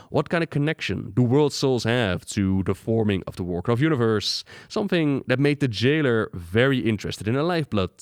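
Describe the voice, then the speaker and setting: A man's voice: mid-range voice, no room sound. A man hosting a gaming lore video, narrating a script with dramatic rhetorical questions into a close microphone.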